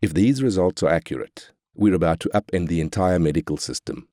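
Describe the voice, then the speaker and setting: A man's voice: deep voice, lively intonation, fairly quick, warm tone, no echo, clean studio sound. A man playing a ruthless, arrogant corporate tycoon, brash and cynical, in scripted video game lines. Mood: scheming, eager. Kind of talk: scripted game character quotes.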